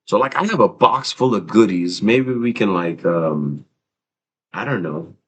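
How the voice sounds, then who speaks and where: Low-voiced man rambling on a livestream. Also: animated, unhurried, a little hiss or hum. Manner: rambling, casual and profane, many fillers and restarts. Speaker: livestream host. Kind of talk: casual livestream chat.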